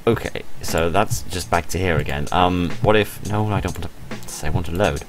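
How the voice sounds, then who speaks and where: A British man reading a visual novel aloud as a let's play host, adding expressive, sarcastic, deadpan asides over game music. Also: low voice, moderate pace, a little hiss or hum. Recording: music behind the voice.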